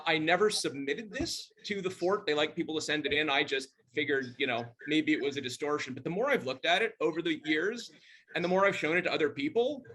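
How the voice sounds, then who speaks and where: Bright-voiced man storytelling. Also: fairly high pitch. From Montreal, Canada. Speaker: club member telling a personal ghost story. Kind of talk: casual anecdotal storytelling. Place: video call.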